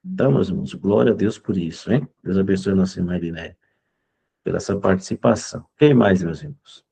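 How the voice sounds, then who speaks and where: A man's voice: low voice, vocal fry, mellow, slightly rough. A man leading an online discipleship Bible class over a video call, fervent and often tagging his sentences with a questioning "né?". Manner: fervent, fills pauses with "né?". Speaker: Bible study leader.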